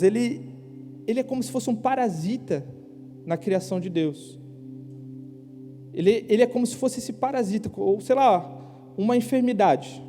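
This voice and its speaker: A young man preaching a casual youth sermon in a church, slangy and conversational, asking the crowd quick questions. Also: sits high, dark tone. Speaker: youth pastor. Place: church hall. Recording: live church hall with long reverb.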